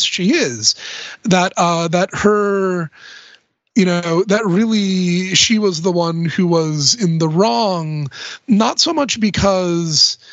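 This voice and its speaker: Higher-voiced man in casual film discussion. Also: a bit bright, no echo, highs cut off. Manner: analytical, hedging with frequent "like" and "sort of". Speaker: podcast panelist discussing a film.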